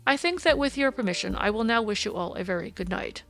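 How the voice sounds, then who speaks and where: A woman's voice: mid-range voice, animated, fast talker, vocal fry, a bit bright, soft background music. A woman voicing an aggrieved male English nobleman in an audiobook, haughty, formal and coldly polite. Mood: cold, offended.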